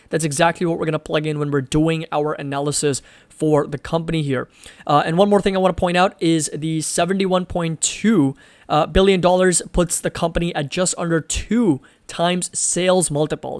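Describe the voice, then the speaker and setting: Higher-pitched man, close dry sound. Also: no room sound. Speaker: livestream host and stock analyst. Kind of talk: live financial analysis commentary.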